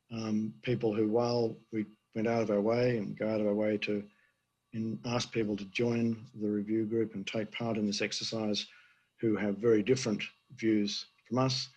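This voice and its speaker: An older man, an emeritus professor, giving a conference presentation with a hoarse voice he is losing, halting with many fillers. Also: lowish voice, darkish tone, low-quality recording. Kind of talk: conference presentation over video.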